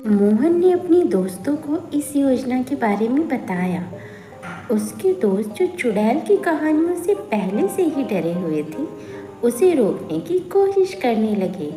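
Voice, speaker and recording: Slow, echoing woman storyteller over music. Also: fairly high pitch, big pitch swings, dark tone. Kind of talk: scripted narration of a horror folk tale. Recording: echoey long reverb, music underneath. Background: background music.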